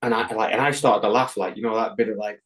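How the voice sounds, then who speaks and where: A man's voice: lowish voice, fairly even intonation, fast talker, fairly bright tone. A man from England, a podcast guest, telling a rambling anecdote in casual chat, swearing constantly and restarting his sentences with ums and likes.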